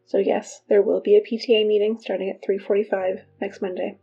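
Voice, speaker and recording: A woman's voice: mid-range voice, little pitch movement, a little fast, creaky, mellow, close to an everyday voice. A woman playing an exasperated school announcer in a fiction podcast, reading notices with dry, wry asides. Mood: brisk, official. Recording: dull lo-fi PA sound, music behind.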